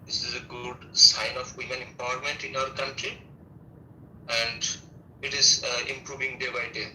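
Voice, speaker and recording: A man with a Bangladeshi accent answers practice questions politely and formally, pausing as he goes. His middle-pitched voice is very sharp and comes through a roomy, distant call with faint noise. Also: little pitch movement. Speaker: student practising for a speaking test. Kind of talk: English speaking practice, exam-style answers. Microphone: online call.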